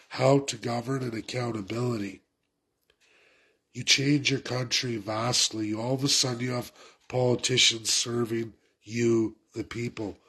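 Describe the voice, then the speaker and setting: A man's voice: mid-range voice, fairly bright tone, husky. A man with a head cold, sounding congested, giving an urgent, repetitive political rant to his viewers. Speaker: political commentator. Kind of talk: impassioned political rant to camera.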